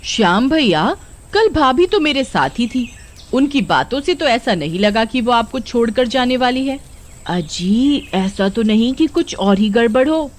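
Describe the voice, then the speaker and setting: A woman's voice: a little high, animated, unhurried, slight background noise. A woman playing a sensible, nagging village wife who exclaims and frightens easily, in a cartoon story. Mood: thoughtful.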